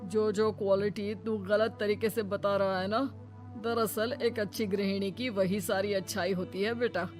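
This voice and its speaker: A woman's voice: mid-range voice, fairly even intonation, unhurried, darkish tone, faint music behind, lightly acted. A woman voicing a principled older father-in-law in a family cartoon, firm and reassuring.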